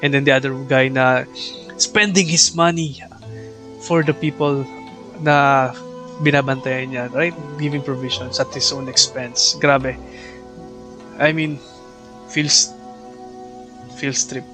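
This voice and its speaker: A man's voice: mid-range voice, steady tone, unhurried, long pauses. A man with a Filipino accent doing casual, chatty livestream game commentary, switching between languages. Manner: echoes game dialogue, jokey asides. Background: faint game music.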